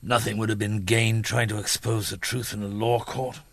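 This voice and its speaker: A man acting a weary, gruff middle-aged chief inspector in a radio drama, wryly reflective, with a husky voice. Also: fairly low pitch, level tone, normal speed, fairly bright tone, no echo. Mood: grave, regretful.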